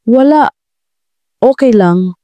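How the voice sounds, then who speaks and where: A woman's voice: fairly high pitch, expressive, slow pace, warm tone, clean tone, no echo, voice pushed up. A woman voicing a reserved, wealthy woman in her forties in an audio drama, answering curtly and with restraint. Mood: curt, guarded. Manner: curt, restrained.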